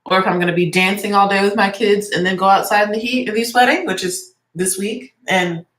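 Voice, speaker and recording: A woman's voice: mid-range voice, steady tone, brisk, fairly bright tone. A young woman with a congested, stuffy voice, chatting casually and rambling as she does her makeup. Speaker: beauty vlogger and teacher. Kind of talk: casual get-ready-with-me chat. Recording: echoey room.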